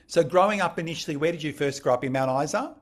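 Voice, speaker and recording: An Australian man hosting a podcast, asking relaxed, friendly questions in a casual chat. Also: a little high, fast. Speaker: podcast host.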